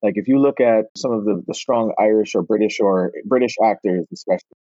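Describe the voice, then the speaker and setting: A middle-aged man from Barbados, a film director and actor, telling animated stories in a casual interview and slipping into relaxed island grammar. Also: on the low side, a little fast, dry recording, dull audio.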